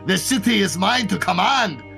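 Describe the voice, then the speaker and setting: A man's voice: high voice, lively intonation, normal speed, gravelly, sharp tone, soft background music, pitched above the performer's own voice, exaggerated voice. A man voicing a scheming male genie of the lamp in a grand, commanding stage manner.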